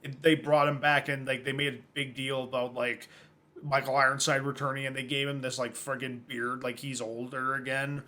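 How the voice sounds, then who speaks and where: A man's voice: medium pitch, little pitch movement, moderate pace, pushed and bright. A man co-hosting a casual film podcast, rambling a bit and filling his talk with "like" as he chats about casting. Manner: rambling, many filler likes. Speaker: podcast co-host. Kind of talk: casual chat about films and games.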